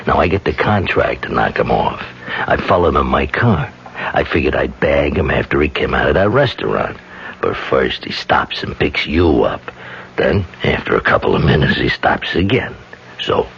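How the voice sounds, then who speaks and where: A man's voice: very deep voice, gravelly, a bit bright, exaggerated voice. An actor playing a sly, blunt jailed hitman with slangy, taunting speech in a radio drama. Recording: muffled, noisy vintage radio recording.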